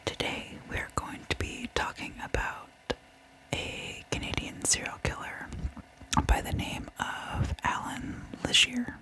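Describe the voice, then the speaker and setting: A woman hosting an ASMR channel, speaking to viewers in a breathy, unvoiced whisper and softly repeating words. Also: a little slow, rough recording. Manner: soft-spoken, repeats words gently. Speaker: host of a true crime ASMR channel.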